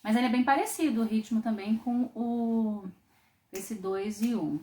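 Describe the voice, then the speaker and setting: A woman from Brazil teaching a popular singing class, explaining in a thoughtful way with frequent pauses and restarts. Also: a little high, mellow. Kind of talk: online music lesson.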